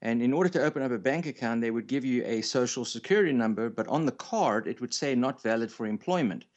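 A South African-accented man in his fifties speaks as a guest on a radio show. His pitch is average and the sound is a little dull, as over a remote connection. He tells things in an easy, good-humoured, conversational way. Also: expressive, quick pace, a little mellow. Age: 50s. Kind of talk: casual interview storytelling.

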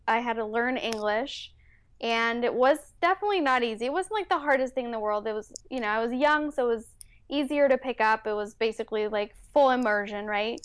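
A woman's voice: fairly high pitch, smooth, no room sound. A woman from Mexico City whose first language is Spanish, chatting upbeat and friendly as an interview guest, with frequent ums and likes. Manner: friendly, upbeat, uses like and um often. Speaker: podcast guest, entrepreneur and psychotherapist. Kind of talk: casual interview chat.

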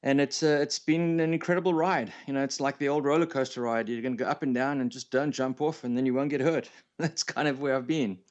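A South African-accented man in his fifties speaks as a guest on a radio show. His pitch is average and the sound is a little dull, as over a remote connection. He tells things in an easy, good-humoured, conversational way. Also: steady tone, brisk, few pauses. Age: 50s. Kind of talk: casual interview storytelling.

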